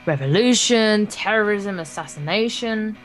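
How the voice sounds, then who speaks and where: A woman's voice: medium pitch, expressive, normal speed, faint music behind. A woman reading a visual novel aloud for a gameplay video, in a playful, theatrical way with crude joking asides.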